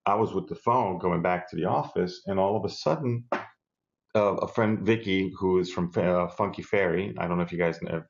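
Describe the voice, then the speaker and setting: A man's voice: low-pitched, fairly quick, vocal fry, lo-fi sound. A middle-aged man from South America, chatting as a guest on a video-call interview, enthusiastic and rambling with restarts, from a home room with bookshelves. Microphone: earbud headset on a video call.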